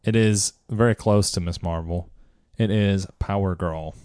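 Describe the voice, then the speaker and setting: A man's voice: low-pitched, steady tone, measured pace, a little mellow, clean tone, dry recording, studio quality. A man casually quizzing a friend on comic character names, playful and laughing along. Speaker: quiz host. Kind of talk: casual chat.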